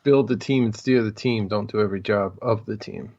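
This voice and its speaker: A man's voice: lowish voice, fairly quick, darkish tone. Casual man in slightly dull audio. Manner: agreeable, brief interjections. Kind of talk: casual chat.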